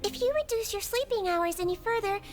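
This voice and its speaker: Small caring creature head nurse, game; very high, soft, patient delivery. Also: female voice, lively intonation, fairly quick. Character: tiny gentle female creature nurse, caring. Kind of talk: video game voice acting. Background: game music.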